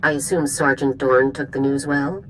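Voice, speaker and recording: Deep-voiced stern commander. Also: female voice, steady tone, soft background music, poor audio. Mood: commanding. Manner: crisp, commanding, brisk orders. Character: stern female military commander on holocomm. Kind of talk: video game cutscene acting.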